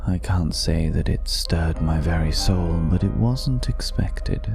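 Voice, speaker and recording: A man playing a seductive, ageless vampire in an intimate ASMR roleplay, speaking slowly and half in whispers, teasing with quiet menace, close to the microphone. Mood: amused, teasing. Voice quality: very deep, gravelly. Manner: slow, breathy half-whispered delivery with lingering pauses.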